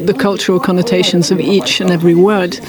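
A young adult woman from Sweden with a Swedish accent, a native Swedish speaker, answering interview questions in a thoughtful, halting, self-deprecating way at a convention. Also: fairly low pitch, flat delivery. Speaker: author guest. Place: convention venue. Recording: chatter of a convention crowd behind.

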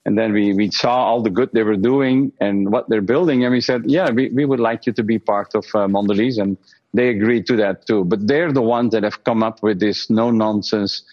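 Exec guy with a lowish voice, measured, stops and restarts, dull muffled audio. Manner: measured, with frequent restarts and repeated words. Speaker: company executive, guest. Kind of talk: interview answers.

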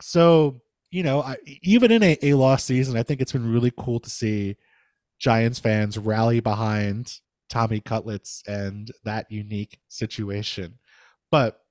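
A man from New York hosting a sports podcast, talking in an animated, chatty way full of "like" and "you know". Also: mid-range voice, no room sound. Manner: animated, chatty, many fillers like "like". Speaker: podcast host. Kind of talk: casual sports podcast chat.

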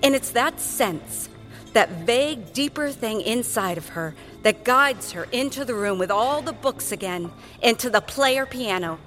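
A woman's voice: a little high, expressive, unhurried, a bit bright. A woman narrating a scripted audio drama in a storytelling style. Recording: music bed under voice.

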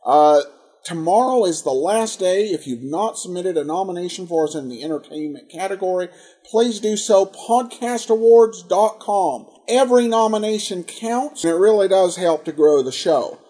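A man with an American accent and a slightly husky voice, reading a scripted podcast introduction as its host. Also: sits high, normal speed, mellow.

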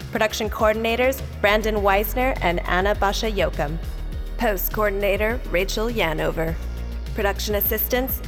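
A woman reading scripted credits as an announcer, in a measured, neutral manner. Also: medium pitch.

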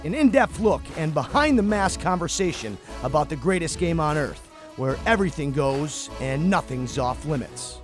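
A man's voice: a little high, lively intonation, moderate pace, faint music behind. A man reading a scripted podcast introduction as the show's announcer.

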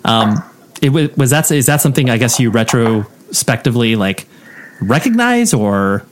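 A man with an average-pitched voice interviews a guest on a podcast. He circles around his questions with restarts and fillers before landing on them. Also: sing-song, noisy background. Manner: halting, searching for words. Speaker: podcast host.

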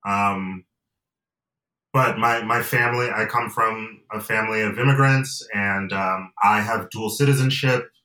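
A young man from Atlanta and Nigeria, a guest in a casual interview, talking reflectively with many pauses and fillers. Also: lowish voice, fairly even intonation, pushed and bright, far from the mic. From United States and Nigeria. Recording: roomy and off-mic.

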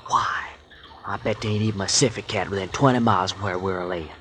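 An actor playing a complaining, folksy sidekick with a drawl in a 1940s radio drama. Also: male voice, low-pitched, quick pace, a bit bright, a little hiss or hum. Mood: grumbling. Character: grumbling folksy male sidekick.

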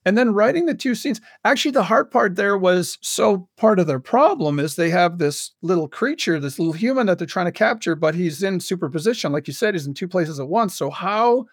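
A man talking casually as the creative guest in an interview, telling stories in an animated, self-interrupting way and acting out bits of dialogue. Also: high voice, brisk, few pauses, dry recording, studio quality. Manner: animated, self-interrupting storyteller who acts out quoted dialogue. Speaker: guest, audio drama creator and writer.

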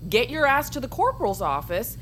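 A woman's voice: fairly high pitch, sing-song, brisk, very noisy. A woman with an American accent playing a harsh, sarcastic army drill sergeant who barks in an audio drama. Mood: exasperated, sarcastic. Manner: barking, sarcastic.

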